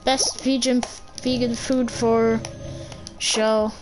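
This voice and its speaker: A woman's voice: a little high, flat delivery, takes it slowly, low-quality recording. A woman doing casual gameplay commentary in short, playful reactions. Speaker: gamer, channel host. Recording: loud game music behind voice.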